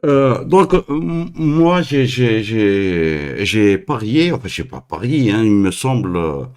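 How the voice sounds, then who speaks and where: Male call-in host from Algeria; unhurried, halting delivery full of fillers. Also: fairly low pitch, very animated, a little mellow, smooth. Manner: hesitant, halting, many fillers and restarts. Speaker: host of a live call-in stream. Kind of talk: live call-in talk.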